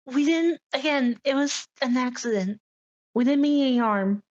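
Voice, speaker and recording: Female tabletop player. Highish voice, unhurried casual delivery, slightly dull recording. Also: darkish tone. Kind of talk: casual in-character game chat.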